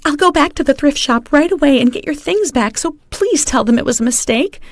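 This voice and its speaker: A woman's voice: high voice, lively intonation, fairly quick, dry recording, studio quality, pitched above the performer's own voice. A woman voicing a desperate, pleading mistress in a narrated story, with a whiny manner. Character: desperate, pleading younger mistress caught stealing.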